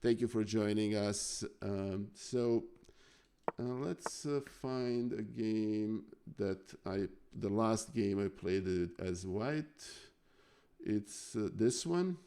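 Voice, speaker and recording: A man talks slowly at a desk microphone, going over chess games on a live stream. His low, gravelly voice stays smooth and clear. He halts often with fillers as he thanks his viewers. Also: dark tone. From Serbia. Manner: halting, many fillers, thanks chat viewers. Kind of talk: casual live stream chat and chess analysis.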